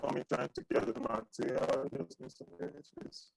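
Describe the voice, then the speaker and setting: A man in his thirties teaching an accounting class over a video call, repeating himself and pausing often. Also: a little high, vocal fry, a little mellow, dry recording, poor audio. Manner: repetitive, halting, asks for questions. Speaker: accounting lecturer. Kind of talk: online class lecture. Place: small indoor room. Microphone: webcam video call with earphones.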